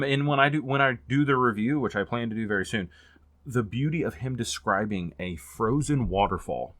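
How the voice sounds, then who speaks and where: A man talking casually as a book reviewer in a rambling, informal way that gets animated on points of emphasis. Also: medium pitch, dry recording. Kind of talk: casual chatty book vlog.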